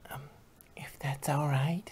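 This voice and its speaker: A woman voicing a timid, soft-spoken female pony, hushed and hesitant, in a cartoon fan story. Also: sits low, steady tone, slow, husky, no room sound, heavily acted.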